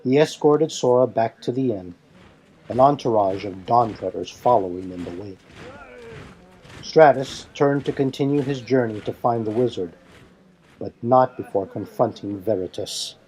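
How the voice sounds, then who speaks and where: A man's voice: mid-range voice, normal speed, a little mellow, rough recording. A man giving scripted story narration for a fan audio drama.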